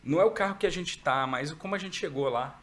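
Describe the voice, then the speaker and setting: A middle-aged man with a Minas Gerais accent, a podcast guest telling stories in a relaxed, reflective way. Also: a little high, fast talker, a little hiss or hum. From Brazil, raised in Minas Gerais. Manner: relaxed, reflective storytelling with frequent "né?". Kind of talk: interview conversation.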